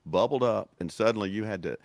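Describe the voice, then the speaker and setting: A man's voice: lowish voice, fast, dry recording. A man co-hosting a podcast, talking casually and emphatically, often repeating himself for stress. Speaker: podcast co-host. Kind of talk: casual chat.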